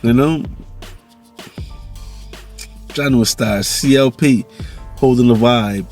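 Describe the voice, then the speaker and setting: Jamaican male vlogger, mid-pitched, easygoing and rambling, faint music bed. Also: measured pace, darkish tone. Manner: loose, rambling, repeats "you know". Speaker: vlog host. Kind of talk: casual monologue vlog.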